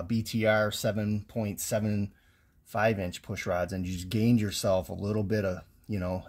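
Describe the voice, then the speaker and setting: A man's voice: lowish voice, breathy edge. A man giving a casual, plainspoken technical how-to while working on an engine in a garage, filmed close on a handheld phone, with frequent ums and restarts. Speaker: home mechanic. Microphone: handheld phone camera close over engine.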